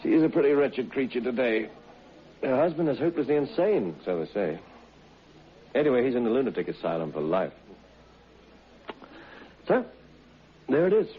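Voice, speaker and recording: A man's voice: medium pitch, very animated, moderate pace, pauses often, close to an everyday voice. An actor playing a worldly man telling a macabre tale in a theatrical radio drama manner. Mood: wry, matter-of-fact. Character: man recounting a macabre colonial tale over whiskey. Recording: muffled vintage radio drama recording.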